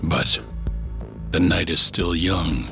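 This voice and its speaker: An actor playing a grave, ominous narrator in a video game, measured and dramatic with a gravelly voice. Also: male voice, low voice, over loud music, heavily acted. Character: grave, ominous narrator of a crime thriller recap.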